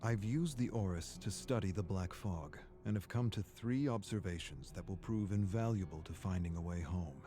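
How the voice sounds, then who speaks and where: An actor playing a weary, brooding imprisoned scholar in a video game, narrating a journal in a measured, formal, ruminative way. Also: male voice, low voice, warm tone, soft background music, close to an everyday voice. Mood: grave, studious. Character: imprisoned male scholar, weary, brooding observer of a dark realm. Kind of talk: dramatic scripted journal narration.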